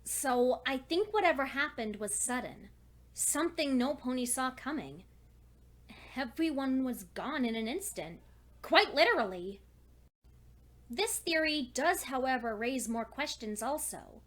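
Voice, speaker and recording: A high-pitched young female pony scholar, acted out by a woman, narrates a cartoon fan story as a personal log. She is earnest and precise, with a fretful, anxious edge as she tries to keep her thoughts in order. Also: animated, a little slow, a bit bright, exaggerated voice. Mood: studious, subdued. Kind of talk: dramatic first-person fan fiction reading.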